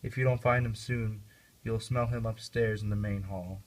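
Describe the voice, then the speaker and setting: A man's voice: lowish voice, fairly even intonation, normal speed, lightly acted, rough recording. A man playing a brooding, accusing, half-mad young prince in an amateur puppet-show play. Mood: mocking.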